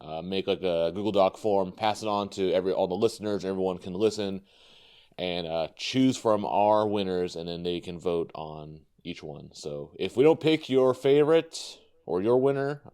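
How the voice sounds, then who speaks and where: A man's voice: low-pitched, moderate pace, a little mellow, clean tone. A man hosting a casual podcast, rambling with lots of fillers and easy laughs. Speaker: podcast host.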